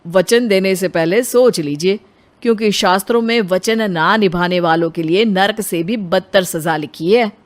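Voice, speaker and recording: Woman as male storybook priest, solemn, stern, formal, medium female pitch. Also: moderate pace, natural voice. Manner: formal, pronouncing.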